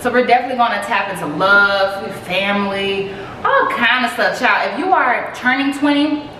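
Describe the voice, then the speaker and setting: A woman's voice: a little high, expressive, moderate pace, sharp tone, slight background noise. A woman, hosting a playful, slangy casual chat. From Jacksonville, Florida. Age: 20s. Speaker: host. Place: indoor room under hot lights. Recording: echoey room, mic at a distance.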